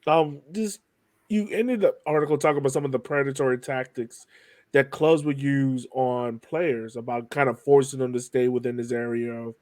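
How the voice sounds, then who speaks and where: Guy hosting a podcast, medium pitch, dry sound, kinda circles around his questions casually. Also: moderate pace, dark tone, no echo. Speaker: podcast host, interviewer.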